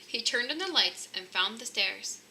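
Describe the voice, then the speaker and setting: A woman reading a children's picture book aloud in an expressive narrating style. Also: fairly high pitch, normal speed, noisy background. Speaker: storybook reader.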